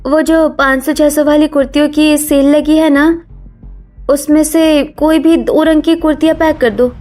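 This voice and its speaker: A woman's voice: high voice, flat delivery, moderate pace, darkish tone, faint music behind, natural voice. A woman playing a spoiled, haughty young daughter-in-law in a cartoon family melodrama, snappish and defensive. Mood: breezy.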